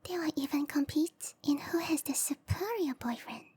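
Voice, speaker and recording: High, whispering seductive matchmaker played by a woman. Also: lively intonation, moderate pace, mellow. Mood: teasing, informative. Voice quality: breathy whisper. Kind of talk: whispered ASMR roleplay.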